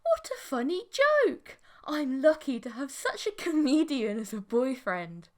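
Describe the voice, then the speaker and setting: A woman's voice: high voice, big pitch swings, a little slow, pitched above the performer's own voice. A woman voicing a clingy, menacing, gleefully possessive insectoid alien girlfriend with a gushing, domineering manner in an audiobook. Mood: amused.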